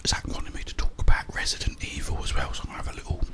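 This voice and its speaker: A man whispering breathily in a hushed, unhurried ASMR ramble while eating. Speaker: ASMR video creator.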